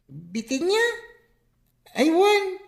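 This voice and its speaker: A talkative young child for a radio comedy drama. The voice is high and roomy, with an Ilocano accent and a hesitant, questioning, tattling manner. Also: female voice, sing-song, drawn-out words, vocal fry, heavily acted. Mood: hesitant, evasive.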